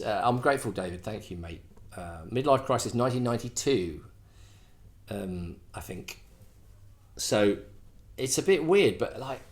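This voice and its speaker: A middle-aged man from England hosting a casual request show, rambling and self-deprecating, full of fillers and restarts. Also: lowish voice. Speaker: host. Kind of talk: casual chat.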